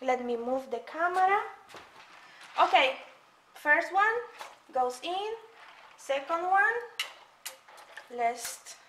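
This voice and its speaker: High-voiced, unhurried woman reviewer. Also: expressive, bright, forceful tone. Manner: chatty, demonstrating while explaining. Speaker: product reviewer. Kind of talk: casual product review demo. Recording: echoey room, faint noise.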